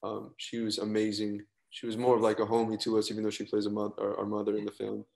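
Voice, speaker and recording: Gravelly, flat-voiced young man. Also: lowish voice, quick pace. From Colombian family. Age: young adult. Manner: laid-back, rambling, trailing off. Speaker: actor guest. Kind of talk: casual interview chat. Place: indoor room, video call.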